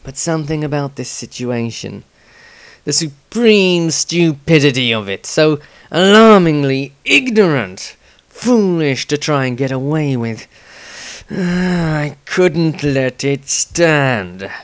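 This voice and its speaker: A menacing, misanthropic fantasy warrior performed by a man speaks with deliberate contempt. His voice is smooth and clear, recorded dry and close. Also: fairly high pitch, expressive, no room sound, pitched above the performer's own voice. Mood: disgusted. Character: cold, misanthropic male fighter, menacing and scornful. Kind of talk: dramatised diary reading.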